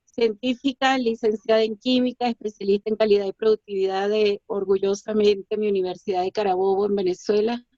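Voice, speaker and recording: A woman's voice: medium pitch, fairly even intonation, brisk, mellow, dry recording, dull audio. A woman from Colombia giving a professional webinar talk as a quality auditor, explaining steadily with frequent hesitations. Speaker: quality management consultant and auditor. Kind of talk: webinar presentation.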